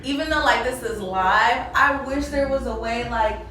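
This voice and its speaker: Excitable woman interviewer, echoey room. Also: a little high, distant mic, slight background noise. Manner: chatty, excitable, quick reactions. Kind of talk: casual interview chat. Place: indoor party mixer. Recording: echoey event room, off-mic.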